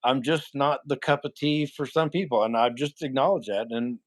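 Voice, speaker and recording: A man's voice: medium pitch, moderate pace. A man in his forties with a nasal voice, hosting a livestream and answering chat questions in a blunt, rambling way that is full of swearing. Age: 40s. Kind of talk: casual live chat.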